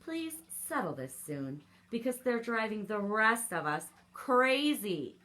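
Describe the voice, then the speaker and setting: A woman voicing a polite, contented green crayon in a children's storybook, speaking in a measured, formal manner. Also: on the high side, big pitch swings, bright, forceful tone, exaggerated voice. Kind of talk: storybook read-aloud for children.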